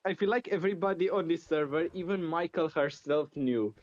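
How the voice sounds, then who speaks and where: A man from Romania chatting casually in an online voice call, often confused and halting, with many fillers. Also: a little high, highs cut off. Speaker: voice chat participant. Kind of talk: casual group chat.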